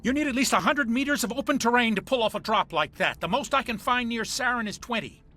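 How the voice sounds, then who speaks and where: A man's voice: very high voice, lively intonation, quick pace, pushed and bright, faint music behind, full character voice. A man playing an exasperated, argumentative spaceship pilot in a sci-fi game. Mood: tense, exasperated.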